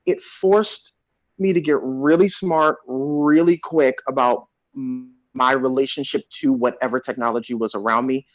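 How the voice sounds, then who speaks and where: A man's voice: medium pitch, steady tone, measured pace. A man who works as an actor, talking reflectively in a panel chat. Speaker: actor in an audio play cast. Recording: muffled, roomy video call audio.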